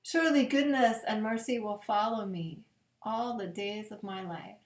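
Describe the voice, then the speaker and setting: A woman pastor reading scripture aloud as a children's bedtime story, in measured, deliberate phrases with long pauses. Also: medium pitch, a little hiss or hum. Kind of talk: gentle read-aloud of scripture picture books. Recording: echoey room.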